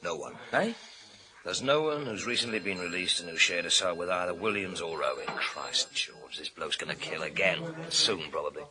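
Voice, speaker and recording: An actor playing a driven, blunt British police inspector in a radio thriller, with a brisk, commanding, slangy manner. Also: male voice, sits low, animated, a crowd in the background, low-quality recording. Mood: grim, frustrated. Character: driven, blunt male police inspector leading a murder hunt.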